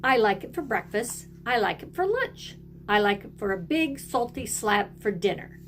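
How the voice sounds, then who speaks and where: A woman voicing a melodramatic young crocodile from a storybook, with exaggerated, drawn-out delivery. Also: mid-range voice, big pitch swings, fairly bright tone, soft background music. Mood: gleeful, relishing. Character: melodramatic young crocodile who adores watermelon.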